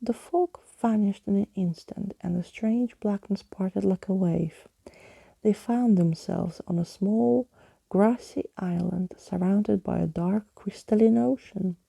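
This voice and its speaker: A woman reading a bedtime story in ASMR style, soft and hushed, often slipping into whispers, close to the microphone. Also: medium pitch, a little slow, creaky, slightly rough, dry recording. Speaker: storyteller reading a book to listeners.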